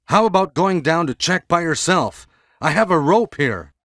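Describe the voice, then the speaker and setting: Brief: burly, kindly commando buddy, fairly high voice, wildly sing-song stilted reads, retro game. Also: male voice, lively intonation, normal speed, a bit bright. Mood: helpful. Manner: stilted, exaggerated swooping delivery. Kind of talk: 1990s video game voice acting. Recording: long hollow reverb.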